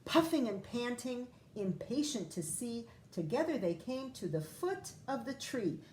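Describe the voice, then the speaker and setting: A woman teacher reading a picture book aloud to young children, pausing to ask questions and explain words. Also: fairly high pitch, very animated, a little mellow, slight background noise. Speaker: first grade teacher. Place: classroom or home, recorded for video.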